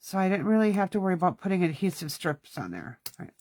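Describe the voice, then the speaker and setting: A woman's voice: on the low side, very animated, fast, darkish tone, no room sound. Stuffy-nosed woman chatting through a craft demo. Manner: chatty, self-talking while working. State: stuffy nose. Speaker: craft class host. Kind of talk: casual hands-on craft demonstration.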